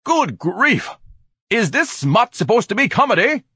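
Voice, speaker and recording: A man voicing a pompous, melodramatic man who splutters with grandiose indignation, in a husky audiobook character voice. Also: very high-pitched, big pitch swings, unhurried, bright, forceful tone, no room sound, clean studio sound, pitched above the performer's own voice, full character voice. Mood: hysterical indignation. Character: pompous, hysterically outraged man ranting at the world.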